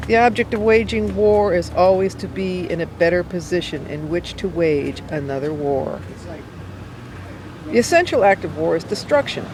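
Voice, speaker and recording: Woman reading aloud amid clear street noise. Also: on the low side. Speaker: amateur reader. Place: outdoors by a cafe in town. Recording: noisy outdoor street recording, traffic sounds. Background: urban outdoor ambience, vehicles.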